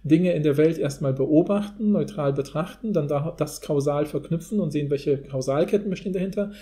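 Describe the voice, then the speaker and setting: A man's voice: a little high, a little fast, warm tone. A man hosting a podcast, talking in a casual, rambling and informal way. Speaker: podcast host. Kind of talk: casual discussion.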